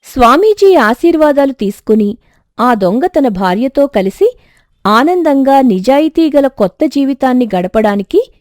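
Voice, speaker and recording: A woman reads a moral tale as its narrator. The voice is high and very lively in pitch, with scripted storytelling phrasing and a very dry, close recording. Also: dry recording.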